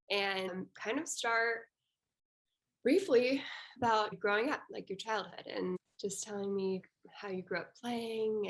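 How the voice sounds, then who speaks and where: A woman's voice: mid-range voice, a bit bright. A young woman working as an interviewer, asking questions in a casual, conversational style.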